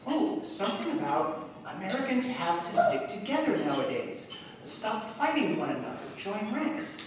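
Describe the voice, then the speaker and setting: A mild, folksy small-town postman played by a man, heard from far off in a very echoey room. His delivery is lively and swinging, obliging and slightly hesitant, in old 1940s radio drama style. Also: high-pitched, normal speed. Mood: fatherly. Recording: roomy stage recording from afar, faint noise.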